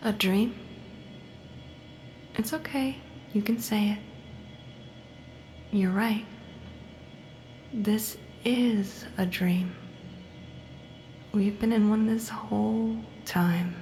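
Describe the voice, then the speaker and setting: An actress playing a vengeful, coldly controlled female patient in a psychological horror audio drama, mocking and deliberate, often dropping into breathy murmurs. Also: mid-range voice, fairly even intonation, a little mellow, a little hiss or hum. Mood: mock soothing.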